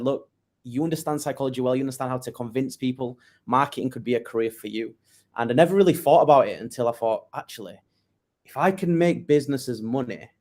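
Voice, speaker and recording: A young man with a northern English accent who talks very fast as an interview guest, with a mid-range voice. His answers are casual and chatty, and he keeps tagging "right?" onto his sentences. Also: mid-range voice, a little mellow. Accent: northern English, Yorkshire. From northern England. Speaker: guest, business owner.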